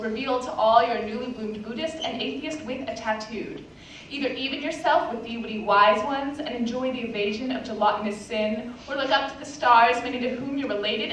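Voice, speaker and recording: Teen female slam poet, high-pitched, theatrical and wry, captured in a very reverberant room. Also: a bit bright. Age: 14, teenager. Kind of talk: slam poetry recital before an audience. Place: indoor live poetry slam, roomy space. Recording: very echoey room, distant mic, background noise.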